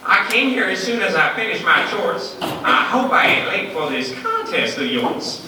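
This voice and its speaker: A man's voice: high-pitched, very animated, normal speed. A man voicing a folksy, eager country woman from a storybook poem, in a rustic, cheery manner. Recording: echoey room, distant mic, faint noise.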